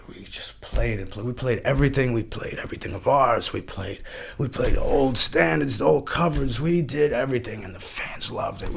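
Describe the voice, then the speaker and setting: A man's voice: mid-range voice, gravelly, husky. An actor playing a washed-up eighties rock frontman, rambling and repeating himself nostalgically and grandly in a mock podcast interview. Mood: wistful.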